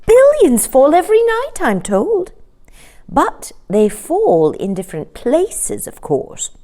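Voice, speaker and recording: A woman's voice: high voice, huge pitch swings, slow, dark tone, pitched above the performer's own voice, full character voice. A woman voicing a sensible, motherly adviser in a children's storybook, emphatic and knowing. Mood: astonished, knowing.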